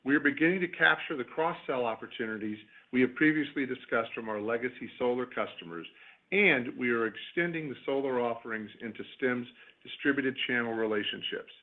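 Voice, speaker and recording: Guy on a thin phone conference line reading prepared exec remarks, formal and measured, normal mid pitch. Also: phone-quality sound. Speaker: company executive. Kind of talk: scripted earnings call presentation.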